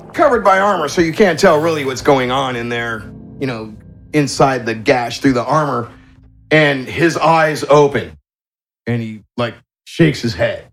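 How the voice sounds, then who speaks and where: A man acting as game master, casually narrating a tabletop fantasy game with improvised, descriptive play-by-play. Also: mid-range voice.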